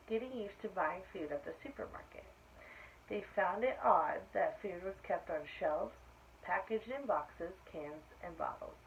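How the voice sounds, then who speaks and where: A woman reading a picture book aloud to children, explaining things simply in asides to young listeners. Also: medium pitch. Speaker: story-time reader for children. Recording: dull lo-fi sound with faint noise.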